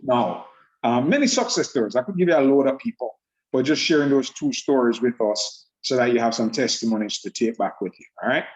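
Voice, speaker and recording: A man's voice: medium pitch, expressive, brisk, muffled recording. A man presenting a trading system on an online group call, upbeat and laughing often, with rambling restarts. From Jamaica.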